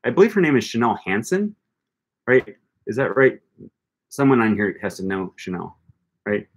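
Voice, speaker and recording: An upbeat young man hosts a relaxed livestream and reacts to viewer comments as he goes. He talks loosely, with frequent ums and restarts. His voice sits close on a desk microphone in a small room. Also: on the low side, moderate pace, slightly rough. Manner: upbeat, thinks aloud with many ums and restarts. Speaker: language-learning channel host. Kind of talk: casual livestream chat reading comments. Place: small room with bookshelf, indoors. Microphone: desk microphone close in front.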